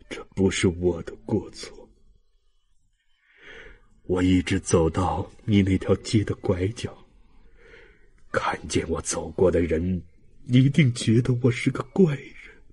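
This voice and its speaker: Audiobook role: a dying, tender old man, low and very husky, halting and emotional. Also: dark tone, exaggerated voice. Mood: anguished, tearful. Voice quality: husky, breathy, whispering. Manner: halting, emotional, fading speech. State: weak, dying. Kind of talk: audiobook character acting.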